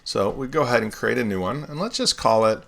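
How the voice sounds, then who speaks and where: A man hosting a live software tutorial, explaining steps in a relaxed way with everyday comparisons while still getting over laryngitis. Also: medium pitch, fairly quick, vocal fry. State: recovering from laryngitis, swallowing often. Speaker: web developer tutorial host.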